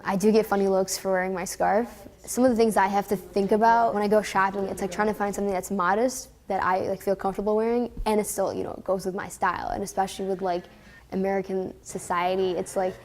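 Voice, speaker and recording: A woman's voice: mid-range voice, level tone, fast talker, darkish tone, soft background music. A nineteen-year-old woman from Detroit talking casually in an interview, often saying "like". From Detroit, Michigan. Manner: chatty, uses "like" often.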